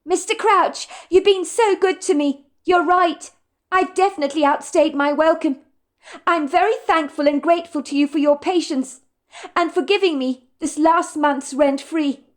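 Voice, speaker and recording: A woman voicing an earnest young waitress in an audiobook story, speaking in a raised, emotional, pleading voice. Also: normal speed. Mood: apologetic. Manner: high, pleading, tearful delivery.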